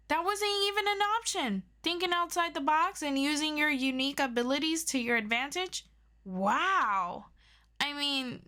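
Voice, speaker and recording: A woman playing an earnest, excitable superhero best friend in an ASMR audio roleplay, chatty and expressive as she tutors a listener. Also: sits high, heavily acted. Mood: amazed, delighted. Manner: chatty and expressive, with interjections and sighs. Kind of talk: ASMR audio roleplay, scripted one-sided conversation.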